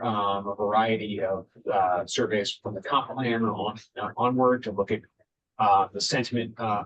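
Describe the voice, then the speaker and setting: A man chairing a town committee meeting, speaking with frequent fillers and restarts. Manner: halting, many fillers and restarts. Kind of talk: public meeting remarks. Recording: muffled meeting recording with faint rumble.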